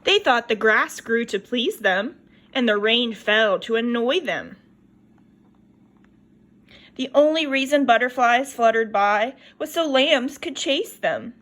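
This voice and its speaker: Woman storyteller, picture-book read-aloud, expressive and lively, slightly high voice. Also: slow.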